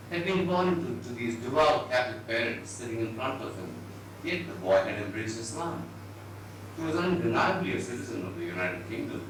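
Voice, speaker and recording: A man giving a measured, expressive dramatised reading of literary fiction. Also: mid-range voice. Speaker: reader at a book event. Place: indoor event venue before an audience. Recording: very echoey distant hall, noisy.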